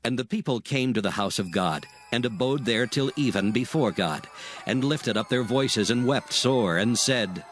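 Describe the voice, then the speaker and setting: A man's voice: medium pitch, lively intonation, moderate pace. A man reading dramatized scripture as the narrator, in a scripted storytelling style.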